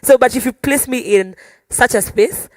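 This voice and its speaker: A woman's voice: a little high, normal speed, darkish tone, no echo. Kenyan woman chatting, close dry sound. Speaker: musician, singer, panel guest. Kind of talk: casual conversational panel talk.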